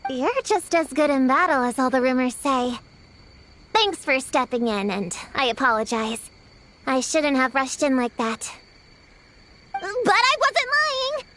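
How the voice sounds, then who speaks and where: A woman's voice: high-pitched, lively intonation, measured pace, fairly bright tone, a little hiss or hum. A woman voicing a spirited young witch-hunter girl in a video game, proud, insistent and earnest, with a self-important, defensive way of talking. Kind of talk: animated video game acting.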